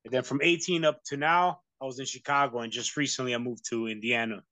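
A man's voice: medium pitch, fairly even intonation, quick pace, pushed and bright, dry recording. A man from the United States, talking casually as an interview guest, rambling with many fillers and restarts. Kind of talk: casual conversation.

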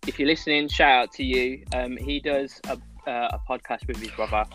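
A man's voice: medium pitch, steady tone, fairly quick, a bit bright, soft background music. A young man, teasing and giggling as he co-hosts a casual podcast with friends. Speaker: podcast co-host. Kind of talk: casual chat among friends.

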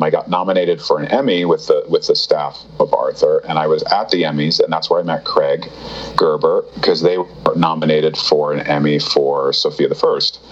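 Deep-voiced man rambling through his story. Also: muffled recording. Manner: rambling, restarts, many fillers. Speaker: guest, TV writer. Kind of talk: casual interview storytelling.

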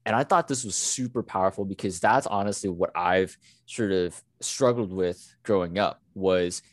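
A man's voice: low voice, normal speed, dry recording, clean studio sound. A man from the United States co-hosting a casual podcast, talking in an earnest, reflective way with many "you know" fillers. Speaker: podcast co-host.

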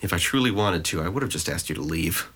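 A man playing a low-voiced, sardonic, guarded young man. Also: animated, quick pace, a bit bright, slightly rough, full character voice. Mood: probing, wry. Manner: dry, sarcastic, verbose. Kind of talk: audio drama dialogue.

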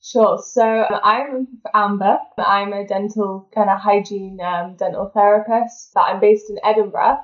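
A young English woman with a neutral accent talks in a relaxed, chatty way, peppering her speech with "kind of" and little laughs. Her voice comes through a roomy space on a slightly dull, lo-fi line. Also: medium pitch, a little slow, hardly any pauses. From England. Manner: chatty, laughs often, many fillers like kind of. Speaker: dental therapist and food blogger, guest. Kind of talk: casual interview chat.

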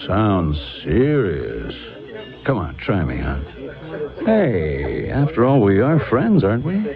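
A gravelly, cocky, flirtatious charmer. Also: male voice, on the low side, huge pitch swings, takes it slowly, a crowd in the background, exaggerated voice. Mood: coaxing, breezy. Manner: smooth-talking, playful, coaxing. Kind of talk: 1970s radio drama acting. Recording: dull vintage radio recording with music behind.

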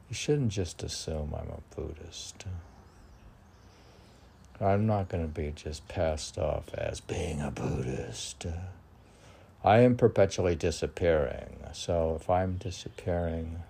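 A man with a very low, creaky voice talks to himself in a meandering monologue. He moves slowly and haltingly between musing questions and short poetic sayings. There is audible noise in the background. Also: warm tone. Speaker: poet reading and commenting on own writing. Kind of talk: rambling solo monologue mixed with short aphoristic poem lines.

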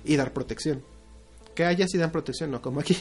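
A man's voice: on the high side, fast talker. Young mexican guy, crude and jokey, podcast chat, faint music behind. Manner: crude, joking, storytelling. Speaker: podcast co-host. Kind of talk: casual chat.

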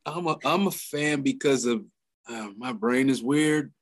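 A man talking casually as a podcast guest, halting with fillers and laughing often. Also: medium pitch.

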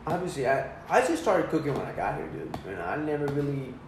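A man's voice: on the high side, sing-song, fast talker, very echoey, noisy background. A young man who cooks and boxes, chatting casually and filling every sentence with dude and bro. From USA. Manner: laid-back, says dude and bro constantly. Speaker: cook and boxer. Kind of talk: casual chat.

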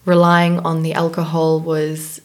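A woman's voice: low-pitched, monotone, measured pace, a little mellow. A young woman from South Africa, reflectively telling stories about her life as an interview guest. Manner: reflective, storytelling, frequent ums and laughs. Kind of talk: casual interview conversation.

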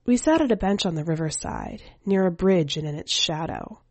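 A woman's voice: on the low side, lively intonation, moderate pace, a little mellow, no room sound. A woman reading a scripted first-person short story as a podcast narrator. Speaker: podcast editor and story reader.

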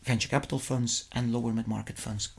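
Male interviewee, middle-aged, Flemish Belgian accent, Dutch native, average pitch, conversational and a little halting. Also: little pitch movement, vocal fry, husky. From Flemish-speaking Belgium. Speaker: interview guest, investment fund executive.

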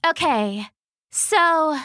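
A woman voicing Goldie, a dim but eager female bear who talks slowly and halts over her words. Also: high-pitched, lively intonation, bright, forceful tone, dry recording, studio quality, pitched above the performer's own voice, exaggerated voice. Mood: slow, careful. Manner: halting, slow, full of fillers.

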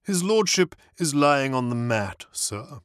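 A reader voicing an unflappable older male valet who speaks in a low, measured, deferential way. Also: big pitch swings, clear, resonant tone, no echo, studio quality. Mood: composed. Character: imperturbable older male valet, formal and dry.

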